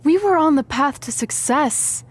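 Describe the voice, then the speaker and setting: A woman's voice: sits high, animated, unhurried. An actress playing a frank, practical young female wrestler in a video game, speaking in a blunt conversational way. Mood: frustrated. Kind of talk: video game cutscene acting.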